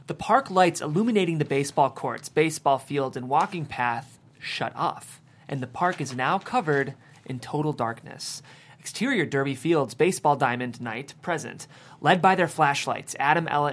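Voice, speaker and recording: Guy narrating scene directions for a script table read, mid pitched voice. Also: a bit bright.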